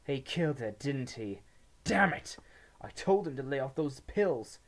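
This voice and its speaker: A man's voice: medium pitch, lively intonation, measured pace, voice pushed up. A man voicing an agitated young male companion with urgent exclamations in a dramatic story reading. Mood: angry.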